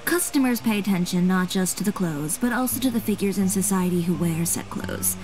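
A woman's voice: mid-range voice, expressive, brisk, darkish tone, close to an everyday voice, poor audio. A woman voicing a poised, self-assured young fashion designer in a video game, speaking in a composed, articulate, matter-of-fact way. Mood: self-assured, instructive. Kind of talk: scripted video game voice acting. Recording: game music behind the voice.

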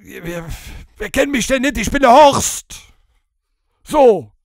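A man's voice: very high-pitched, animated, slow pace, vocal fry, darkish tone, dry recording, clean studio sound, full character voice. A man voicing Horst, a brash and crude male character, bantering bluntly on a podcast. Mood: deadpan, cocky.

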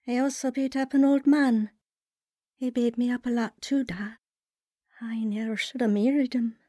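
A woman's voice: on the high side, steady tone, moderate pace, mellow, no room sound, studio quality, lightly acted. A woman playing a vulnerable, earnest young wife and mother in a radio drama. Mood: troubled, earnest. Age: young adult. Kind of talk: radio drama acting and first-person narration.

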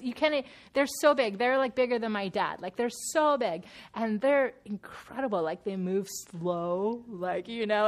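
A woman in her thirties leading a women's Bible study, sounding nasal from a sinus infection, chatty and laughing as she talks to the group. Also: medium pitch. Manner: chatty and laughing, with many fillers and restarts. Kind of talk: casual talk to a women's group. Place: church meeting room.

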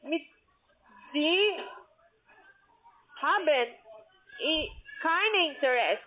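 High, slow woman with foreign accent, tinny phone. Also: lively intonation. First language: not German. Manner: halting, broken phrasing. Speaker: call centre trading agent. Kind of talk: halting sales phone call. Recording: narrow tinny phone line.

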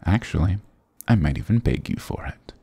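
Very deep, gravelly flirty goth guy, acted by a man. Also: animated, normal speed, warm tone, dry recording, heavily acted. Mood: flirty, affectionate. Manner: teasing, affectionate, mock-dramatic. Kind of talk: intimate ASMR roleplay acting.

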